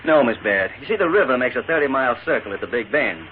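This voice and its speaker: A man's voice: medium pitch, lively intonation, fast, lightly acted. Man playing a suave riverboat gambler, tinny radio. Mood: charming. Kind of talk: 1940s radio western acting. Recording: tinny, hissy 1940s radio recording.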